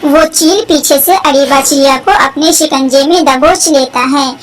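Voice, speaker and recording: A woman's voice: sits high, normal speed, a little hiss or hum. A woman reading scripted narration for a children's cartoon story.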